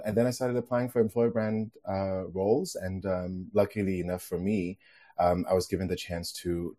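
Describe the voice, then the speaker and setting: A man from Denmark, a guest on a podcast interview, relaxed, laughing easily, with many fillers. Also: low voice.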